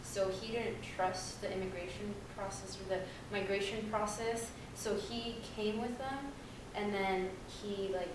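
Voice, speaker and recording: A young woman on a discussion panel talks loosely and casually, leaning on "like" and "um" as she goes. Her voice sits at an ordinary middle pitch. It is picked up from well back in a big, echoing room with a steady hum of noise behind it. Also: measured pace. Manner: loose and chatty, full of "like" and "um". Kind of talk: personal storytelling on a panel. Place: large echoey room. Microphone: distant room mic. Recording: echoey hall recorded from far off.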